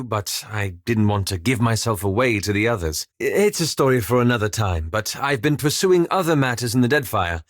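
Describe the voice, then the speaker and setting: A reserved, scholarly male adventurer from a fantasy game, acted by a man. His mid-pitched voice moves expressively through formal, careful phrasing. He is polite and a little apologetic, recorded very dry. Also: no room sound, close to an everyday voice. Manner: formal, measured, polite, hesitant diction. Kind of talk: video game character dialogue.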